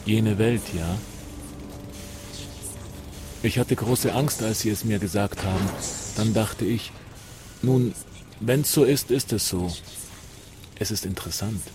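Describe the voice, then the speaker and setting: A man's voice: fairly low pitch, slow pace, long pauses, noisy background. An actor playing a reflective dying man in a radio drama, speaking in a sombre, matter-of-fact, philosophical way. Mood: confiding. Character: reflective dying man facing death calmly.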